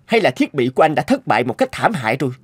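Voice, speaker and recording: Scornful, swooping rival boss. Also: male voice, a little high, very animated, very fast, slightly rough, dry recording. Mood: mocking. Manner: mocking, condescending. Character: scornful, arrogant male rival research boss. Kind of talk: Vietnamese cartoon dub acting. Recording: music under the dialogue.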